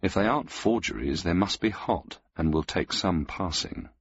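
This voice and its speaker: A man's voice: low voice, expressive, moderate pace, husky, no echo, lo-fi sound, pitched above the performer's own voice, exaggerated voice. A man voicing a cynical, self-assured male master thief who speaks dryly with mild irony. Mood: wry, guarded. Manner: dry and mildly ironic.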